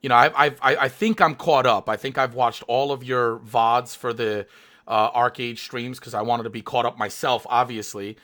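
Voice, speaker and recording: A male streamer with a bright, forceful voice that sits at an ordinary pitch. He talks with hyped energy and keeps stumbling through fillers and restarts. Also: fairly even intonation, normal speed. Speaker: streamer and interviewer. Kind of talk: live stream interview.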